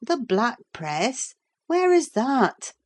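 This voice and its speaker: A woman reading an audiobook, voicing a sensible older woman servant who speaks in a firm, calming way. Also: on the high side, extremely slow, a little hiss or hum, voice pushed up, heavily acted. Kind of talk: audiobook character voice.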